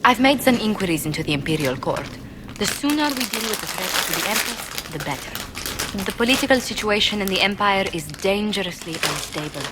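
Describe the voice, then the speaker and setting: An actress voicing a poised, diplomatic court ambassador in video game dialogue, formal and measured. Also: female voice, mid-range voice, lively intonation, noisy background, natural voice. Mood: concerned.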